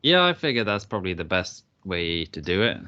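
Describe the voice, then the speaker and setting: A man co-hosting a podcast, relaxed and low-key as he asks and answers quiz questions. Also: a little fast, lo-fi sound. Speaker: podcast co-host. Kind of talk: casual podcast chat.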